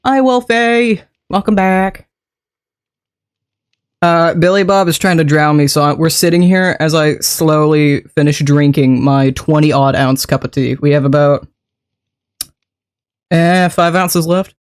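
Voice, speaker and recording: A chatty streamer who talks casually into a desk mic, rambling from one small thing to the next and laughing easily. Being a bit sick has made the voice sit deeper than usual, though the pitch stays in a middle range. The speaker keeps greeting and thanking people in chat between thoughts. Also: a little slow, long pauses, clear, resonant tone. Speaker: game streamer.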